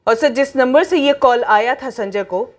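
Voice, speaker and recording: A woman's voice: on the high side, normal speed, noisy background, close to an everyday voice. An actress playing a methodical policewoman in a TV crime drama, formally reporting findings to her superior. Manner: formal, deferential, factual. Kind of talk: Hindi police procedural drama acting.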